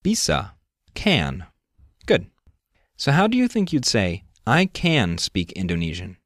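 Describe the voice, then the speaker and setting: A man's voice: fairly low pitch, wildly swooping pitch, slow, a bit bright, no room sound, studio quality. A man hosting a scripted language lesson, explaining step by step and prompting the listener with questions. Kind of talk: scripted instructional narration.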